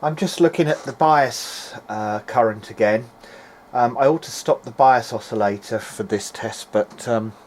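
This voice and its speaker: A man's voice: medium pitch, a little slow. A man giving an informal, hesitant video-diary narration as he tests equipment, thinking aloud in a noisy amateur recording. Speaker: hobbyist electronics repairer.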